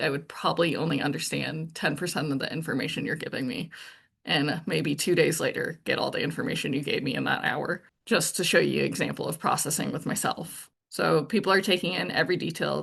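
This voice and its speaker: Flat-voiced woman with a gravelly husk. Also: deep voice. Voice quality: monotone. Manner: blunt and to the point. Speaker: channel host. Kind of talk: casual true-crime commentary monologue.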